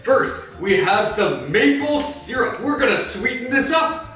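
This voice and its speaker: A man's voice: very high voice, very animated, unhurried, sharp tone, heavily acted. A man playing Batman as a flustered host of a comedic cooking show, rambling through the steps and snapping into angry outbursts, in a home kitchen. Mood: explaining. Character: Batman, gruff brooding male superhero cooking. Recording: very echoey room, mic far off, faint music.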